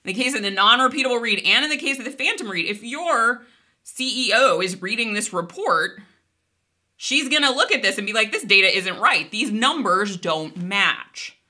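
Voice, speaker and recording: A woman in her forties explaining a technical topic in a lively, conversational video lesson, gesturing as she talks. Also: a little high, moderate pace. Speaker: database instructor.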